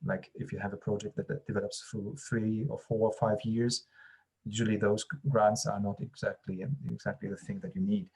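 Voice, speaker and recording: A man with a fairly low voice and a husky grain talks through a project as a presenter. His delivery is informal and explanatory, with hesitant, repeated words. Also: mellow, low-quality recording. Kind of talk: informal explanatory panel answer.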